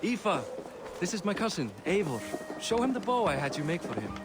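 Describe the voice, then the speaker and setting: A man's voice: high-pitched, big pitch swings, a little fast, background noise. An actor playing a proud, pragmatic middle-aged Viking king in a video game. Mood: proud, friendly. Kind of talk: game voice acting.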